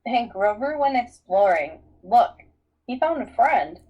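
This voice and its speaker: A bossy teenage girl from a storybook, read by a woman, dry and no-nonsense. The voice is fairly high and takes its time over each line. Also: big pitch swings, slow pace, husky, lo-fi sound, exaggerated voice. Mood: dry teasing. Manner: dry, matter-of-fact.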